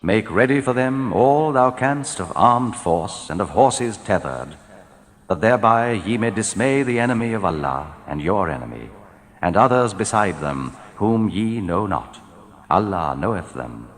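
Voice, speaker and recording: A man reading translated scripture in a solemn, measured, declamatory style, letting his phrases fall at the end. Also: fairly low pitch, animated. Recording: long hall reverb.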